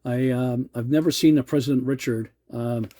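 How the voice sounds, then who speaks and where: A man's voice: medium pitch, steady tone, moderate pace, warm tone. A middle-aged man from Canada chatting casually as a radio hobbyist, rambling a little and using radio lingo.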